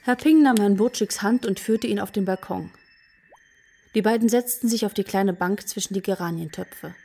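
A woman's voice: mid-range voice, mellow, husky. A woman narrating a children's radio play in a scripted storybook style.

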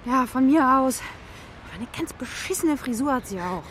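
A woman's voice: high-pitched, animated, moderate pace, a bit bright, slight background noise. A woman playing a brusque, irritable, defensive working mother in a children's radio play, with an offhand, sarcastic way of talking. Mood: irritated, sarcastic. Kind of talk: naturalistic German radio drama acting.

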